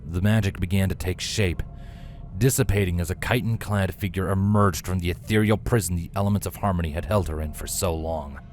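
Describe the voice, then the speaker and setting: A man narrates a fantasy story in a deep voice. His delivery is measured and solemn, like scripted dramatic fiction reading. Light music runs behind him. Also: a little mellow. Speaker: narrator, fan fiction reader.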